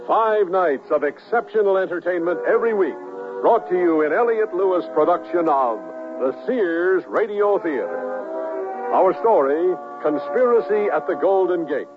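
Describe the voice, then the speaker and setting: A man's voice: high-pitched, expressive, moderate pace. A man doing formal, scripted announcing for a radio theater program. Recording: narrow-band radio broadcast, music behind.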